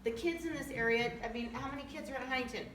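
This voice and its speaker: A woman's voice: sits high, fairly bright tone. A middle-aged woman, a local resident, giving an earnest, pleading public comment at a community meeting. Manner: earnest, pleading, slow emphatic stresses. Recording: echoey hall, voice from far off, faint noise.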